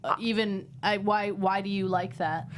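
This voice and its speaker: A woman's voice: medium pitch, steady tone, normal speed, slight background noise. A woman chatting casually in a panel discussion.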